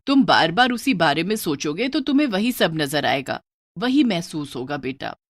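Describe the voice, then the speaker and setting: A woman playing a firm, sensible mother of grown children in a horror story, admonishing calmly. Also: fairly low pitch, moderate pace, no room sound, clean studio sound, pitched below the performer's own voice.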